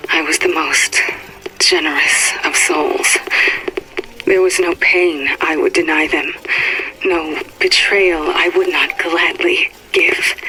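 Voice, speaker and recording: An actress playing a prophet's devout, guilt-ridden wife, solemnly confessing in a deliberate, sermon-like way on a game audio diary. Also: female voice, on the low side, sharp tone. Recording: noisy, crackly old audio diary.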